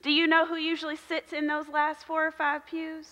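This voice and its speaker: A woman in her thirties, a pastor preaching a sermon in a large church sanctuary with slow, emphatic repetition. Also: sits high, monotone, pushed and bright. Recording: long church hall reverb.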